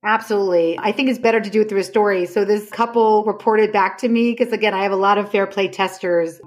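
A woman's voice: mid-range voice, fairly even intonation, fast. A woman explaining her ideas conversationally as a podcast guest, telling anecdotes emphatically and acting out reported dialogue.

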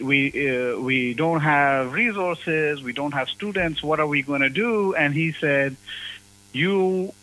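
A man raised in Zambia speaks as an interview guest in a bright, slightly tense voice, pitched a touch high. He talks haltingly, circling back with fillers and restarts. The sound has a broadcast-radio quality. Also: low-quality recording. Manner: hesitant, many fillers and restarts. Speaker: guest, university professor. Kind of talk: conversational interview.

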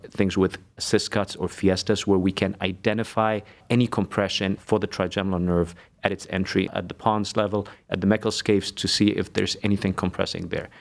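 A man from Egypt, a neurologist, giving measured technical explanations as a guest on a medical podcast. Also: low-pitched, vocal fry. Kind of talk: expert medical interview.

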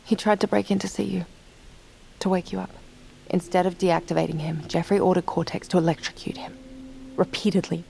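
An actress playing a weary, guarded captive woman, frank and insistent, hushed and urgent, in an audio drama. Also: on the low side, flat delivery, brisk, vocal fry, a little hiss or hum, rough recording. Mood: grim. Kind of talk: scripted audio drama acting.

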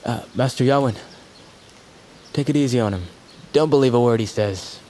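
A young man playing a modest, loyal bear archer in an audio drama, talking in a bashful, halting, good-natured way. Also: mid-range voice, warm tone, a little hiss or hum, natural voice. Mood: respectful, eager. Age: young adult.

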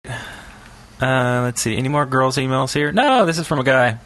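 A man's voice: mid-range voice, unhurried, a bit bright, no echo. A man co-hosting a podcast in casual banter with his fellow hosts. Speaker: podcast co-host.

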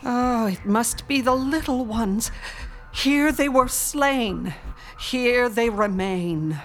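An actress playing a solemn psychic who senses spirits in a radio horror drama, speaking in a deliberate, otherworldly manner. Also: female voice, a little high. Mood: eerie, entranced.

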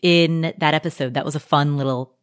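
A woman hosting a podcast, chatting casually, engaged and agreeable, and lively when she quotes someone. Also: sits low, a little fast, clean tone. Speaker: podcast host. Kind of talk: casual conversational chat.

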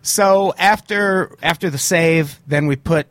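A slightly hoarse man hosting a podcast, a touch higher-pitched than most men. He talks casually, swears freely and runs through the rules at a brisk clip. The sound is close and dry. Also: a bit bright, no room sound. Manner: swears often, explains rules quickly. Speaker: podcast host. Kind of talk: casual chat, live-streamed podcast.

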